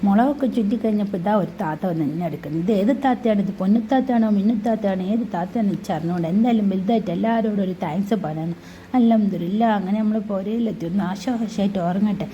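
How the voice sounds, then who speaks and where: A woman's voice: mid-range voice, fast, warm tone, lo-fi sound, a little hiss or hum, natural voice. A woman voicing a chatty, cheeky newborn baby in a family video voice-over, talking in a sing-song, cooing way with teasing asides.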